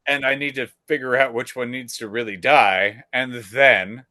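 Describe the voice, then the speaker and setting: A man's voice: medium pitch, fairly even intonation, moderate pace, bright, forceful tone, no echo. A man co-hosting a podcast in casual chat, laid-back and wry, swearing casually. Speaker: podcast co-host.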